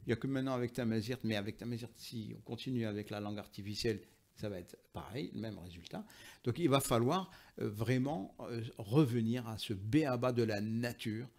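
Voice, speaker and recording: Gravelly-voiced man explaining his views. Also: mid-range voice, a little mellow. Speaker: interview guest, linguist and author. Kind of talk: explanatory interview talk, argumentative.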